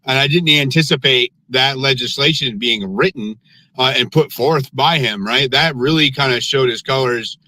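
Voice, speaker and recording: A man in a live online audio room, lecturing forcefully in a heated political argument and restarting his sentences with repeated words. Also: mid-range voice, normal speed, no room sound, poor audio. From United States. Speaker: panelist in live audio space.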